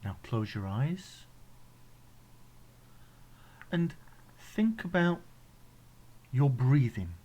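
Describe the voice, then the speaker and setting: A man with an English accent leading a guided meditation in a gentle, soothing, instructional way, leaving pauses between phrases. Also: mid-range voice, very animated, breathy edge. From England.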